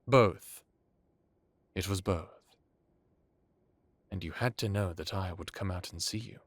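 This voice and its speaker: A man acts out an ancient vampire lord in an audio drama. His low voice is courtly and measured, with theatrical pauses. He sounds wry yet commanding, recorded very dry. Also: dry recording. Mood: knowing, pointed. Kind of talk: dramatic audio roleplay acting.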